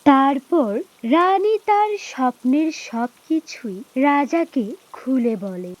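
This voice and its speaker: A woman narrating a scripted fairy tale in an expressive storytelling manner. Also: high voice, slow, lo-fi sound.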